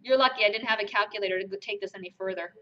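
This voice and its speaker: A woman's voice: mid-range voice, very fast. A woman from Germany teaching a math class, talking emphatically and asking the students rhetorical questions. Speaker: math lecturer. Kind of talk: classroom lecture. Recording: lo-fi lecture capture.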